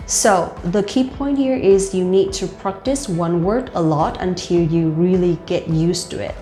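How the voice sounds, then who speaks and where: A woman's voice: fairly low pitch, unhurried, dark tone. A young woman with a Vietnamese accent gives casual speaking tips, talking loosely with many "like" fillers. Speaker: host and life coach. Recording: roomy with faint music.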